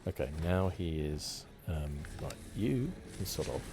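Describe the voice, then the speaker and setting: A man giving relaxed, playful ASMR gameplay commentary, rambling a little hesitantly. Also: deep voice, unhurried. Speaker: gaming video host. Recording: game noise behind the commentary. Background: fantasy video game sounds.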